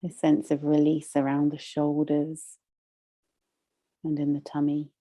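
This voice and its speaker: Slow, very mellow low-voiced woman guiding meditation. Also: completely flat delivery. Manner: soothing, measured, with long pauses. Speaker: meditation teacher. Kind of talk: guided meditation.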